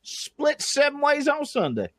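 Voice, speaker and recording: Man as a loud, high-pitched old backwoods geezer, slow drawl. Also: huge pitch swings, dry recording, studio quality, exaggerated voice. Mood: folksy gruff. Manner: folksy drawling threats. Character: old male backwoods Southern yokel, gruff.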